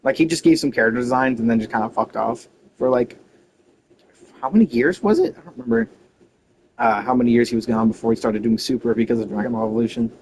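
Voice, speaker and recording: A man's voice: medium pitch, fast, vocal fry, darkish tone, a little hiss or hum, rough recording. A man streaming a game with casual, informal commentary, rambling off on tangents. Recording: faint game music behind.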